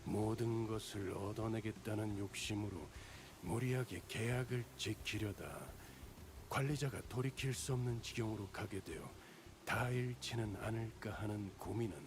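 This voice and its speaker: Guarded, formal guide for a video game, male actor, gravelly and lowish, background noise. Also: steady tone, lightly acted. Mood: solemn. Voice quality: gravelly low voice. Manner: formal, deliberate wording, aloof. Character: stern, guarded male guide bound by contract.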